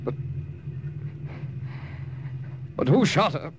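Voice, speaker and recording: An actor playing an excitable, decent corporal, exclamatory and agitated, in 1940s radio style. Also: male voice, on the high side, a little fast. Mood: shocked. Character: excitable, decent British corporal, loyal wartime sidekick. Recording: faintly noisy old radio recording.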